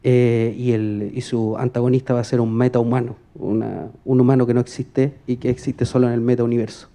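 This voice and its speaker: A man's voice: medium pitch, flat delivery, fairly quick, dark tone. A man asking an informal audience question at a conference, rambling in short, hesitant phrases.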